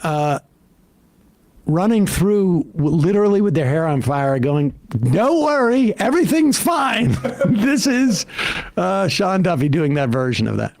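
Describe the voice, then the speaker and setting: An American man in his late fifties or older giving casual political commentary as a show host, halting and wry. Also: high-pitched, sing-song, gravelly, a little mellow. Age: late 50s or older.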